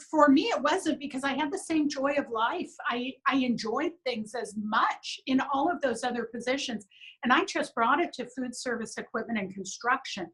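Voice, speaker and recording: A woman's voice: high voice, poor audio. A middle-aged woman talking as an interview guest over a video call, upbeat and earnest, often acting out what others said. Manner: upbeat, earnest, quotes others in lively voices. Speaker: business owner, interview guest. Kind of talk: casual conversational interview.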